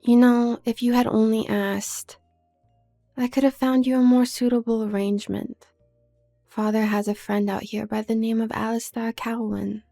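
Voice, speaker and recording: A woman playing a haughty, manipulative younger werewolf sister from a noble family in an audio drama, speaking with condescending, needling smoothness. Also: fairly high pitch, little pitch movement, normal speed, warm tone, faint music behind. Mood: smug, needling. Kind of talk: scripted audio roleplay drama.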